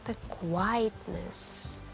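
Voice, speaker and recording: A woman playing a mysterious, lonely late-night café stranger in an intimate ASMR roleplay, soft-spoken and wistful, musing hesitantly with lingering pauses. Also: medium pitch, vocal fry, low-quality recording. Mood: dreamy, longing. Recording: background music.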